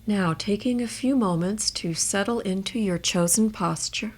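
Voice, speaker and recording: A woman guiding a meditation in a measured, gentle way, leaving space between her phrases. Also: mid-range voice, noisy background. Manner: measured, gentle instruction with long pauses. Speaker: meditation guide. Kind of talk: guided body scan meditation.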